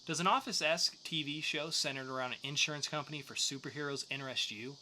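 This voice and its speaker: A man hosts a geek news and review podcast with a bright, forward voice. His delivery is casual and joking, and there is faint noise behind him. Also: a little high, fast talker. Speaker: podcast co-host. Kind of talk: news segment and comics review.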